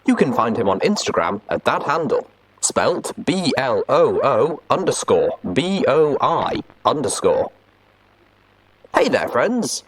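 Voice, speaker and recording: Male presenter for a reading show intro, theatrical, with extremely lively pitch swings. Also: takes it slowly, breathy edge, low-quality recording. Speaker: host of a fan fiction reading show.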